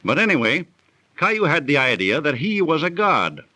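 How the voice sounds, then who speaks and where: An actor in a 1930s radio drama playing a well-travelled, genteel storyteller recounting an old legend theatrically. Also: male voice, fairly high pitch, big pitch swings, moderate pace, bright, forceful tone, dry recording. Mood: absorbed, dramatic.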